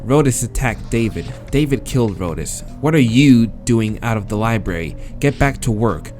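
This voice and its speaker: A man's voice: mid-range voice, expressive, normal speed, a little mellow, soft background music, close to an everyday voice. A man voicing a stern, sour cat librarian from a visual novel, curt and commanding.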